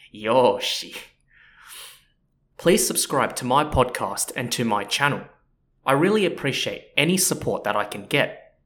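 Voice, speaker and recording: A man's voice: mid-range voice, lively intonation, measured pace, fairly bright tone. A man hosting a history podcast, explaining in a chatty, casual way with lots of "you know" and "so yeah". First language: not Japanese. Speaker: podcast host. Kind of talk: casual educational podcast narration.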